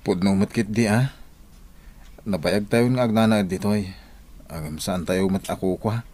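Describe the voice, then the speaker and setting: An actor playing a humble, law-abiding labourer husband in an Ilocano radio drama. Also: male voice, fairly low pitch, normal speed, darkish tone, lightly acted. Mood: worried, resigned.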